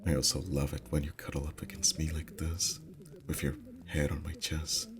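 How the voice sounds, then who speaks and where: Very deep, soft-spoken boyfriend played by a man. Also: little pitch movement, normal speed, music in the background. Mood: affectionate, cozy. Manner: soft, intimate, slipping into whispers. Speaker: voice actor. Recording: faint ambient background noise.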